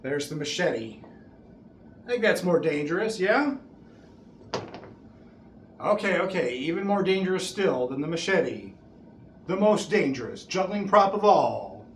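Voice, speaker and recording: A man's voice: fairly high pitch, very animated, unhurried, long pauses. Middle-aged showman in an echoey room. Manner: theatrical, exclamatory showman. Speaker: juggler and event host. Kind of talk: showman stage patter to an audience. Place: small bare indoor room. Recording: echoey room with background noise.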